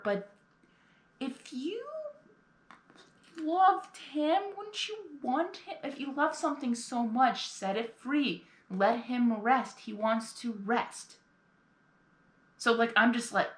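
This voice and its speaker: A woman's voice: fairly high pitch, fairly bright tone. A woman giving casual reaction commentary, explaining things in an emphatic, exasperated way. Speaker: reaction video host. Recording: echoey room.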